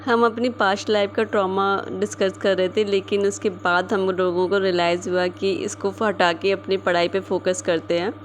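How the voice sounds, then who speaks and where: A woman's voice: medium pitch, flat delivery, normal speed, hardly any pauses, a little hiss or hum. A young woman telling about her day in a casual voice-over, chatty and full of fillers, her throat a little sore. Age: young adult. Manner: chatty, with many fillers and English words mixed in. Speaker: medical student vlogger. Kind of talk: casual vlog voice-over narration.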